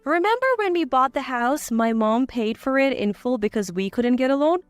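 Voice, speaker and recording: A woman's voice: sits high, lively intonation, normal speed, clean tone, dry recording, soft background music. A woman voicing a cool-headed, defiant wife in a dramatized story, assertive and cutting. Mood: cool, scornful.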